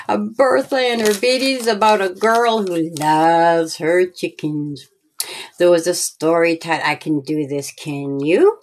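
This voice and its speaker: A woman hosting a storytime and reading her own children's story aloud, speaking simply and directly to young listeners. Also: on the low side. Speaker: children's story author and reader.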